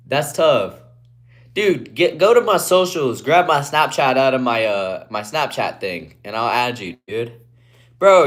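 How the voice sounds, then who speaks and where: Laid-back young man chatting on a livestream. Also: fairly high pitch. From Guatemala. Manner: laid-back, says bro and dude a lot, often trails off quietly. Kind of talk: casual live-stream chat reading comments. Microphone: phone propped on a ledge.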